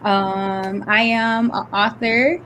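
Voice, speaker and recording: A young woman with a high, light voice talks in a relaxed, giggly way, filling her sentences with "like" and "um." A faint hiss runs under the recording. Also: slow pace. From Detroit. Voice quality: high-pitched voice. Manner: laid-back, giggly, lots of "like" and "um". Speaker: author, podcast guest. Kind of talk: casual chat.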